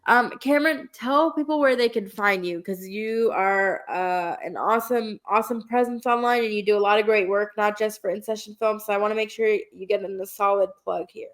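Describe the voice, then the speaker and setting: Woman, podcast host, nasal from a cold, casual film chat, mid-pitched. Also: a little fast, hardly any pauses.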